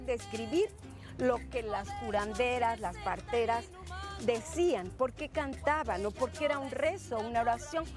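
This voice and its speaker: High-voiced woman healer with music behind. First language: Zapotec. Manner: earnest, searching for words, repeats herself. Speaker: singer and traditional healer. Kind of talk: interview answers. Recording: music playing under the voice.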